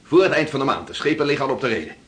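An actor playing an eager, affectionate seafarer in an intimate radio play. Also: male voice, medium pitch, sing-song, very fast, a bit bright.

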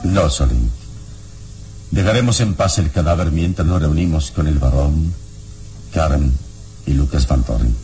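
An actor playing a calm, wise adventurer hero in a radio serial, speaking in a measured, solemn and reassuring way. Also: male voice, rumbling low, soft background music. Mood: grave, thoughtful. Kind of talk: dramatic radio serial acting.

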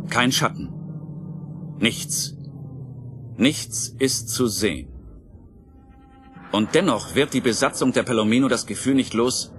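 A man's voice: medium pitch, a bit bright. Suspenseful male narrator over music. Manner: suspenseful, deliberate phrasing. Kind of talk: dramatic scripted narration for audio drama. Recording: music clearly behind the voice.

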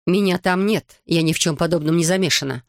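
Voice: mid-range voice, brisk, creaky, no room sound, studio quality, lightly acted. A narrator voicing a defiant, cornered female agent in a dramatic audiobook thriller. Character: defiant, cornered woman agent, later gasping and dying.

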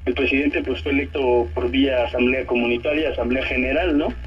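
A man from Oaxaca with a southern Mexican accent gives a halting spoken testimony by telephone, often adding short tag questions. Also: mid-range voice, steady tone, breathy edge. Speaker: detained community activist giving a statement. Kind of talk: spoken testimony by phone to a press conference. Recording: muffled, narrow-band telephone line.

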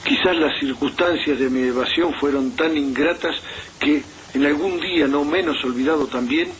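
A man giving a measured, formal literary reading. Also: fairly high pitch, slight background noise, poor audio.

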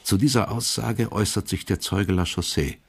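A man with a husky voice narrating a radio drama in a sober, matter-of-fact way. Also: fairly low pitch, normal speed.

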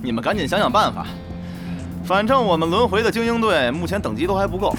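A man acting a blunt, excitable guild leader in a Chinese radio drama, brash and full of slangy exclamations. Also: high voice, quick pace. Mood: urging. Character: blunt, excitable male guild leader in online-game world. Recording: background music under the voice.

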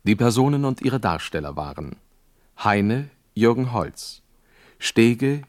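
A man's voice: mid-range voice, very animated, takes it slowly, no echo, clean studio sound. A man reading radio play credits as a formal, scripted announcement.

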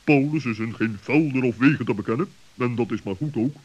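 A man playing a stern, sceptical, pompous owl in a children's radio play, questioning in a measured way. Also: medium pitch, close to an everyday voice. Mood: scoffing, dismissive. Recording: dull vintage radio play recording.

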